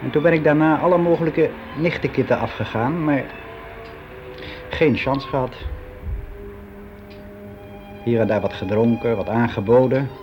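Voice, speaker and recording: An actor playing a lonely, timid, regretful office employee of sixty in a radio monologue, speaking in a halting, confiding, colloquial way. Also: male voice, mid-range voice, lightly acted. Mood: resigned, matter-of-fact. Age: 60s. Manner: halting, confiding, colloquial, with hesitant self-corrections. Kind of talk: conversational radio drama monologue. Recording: dull, lo-fi old radio recording.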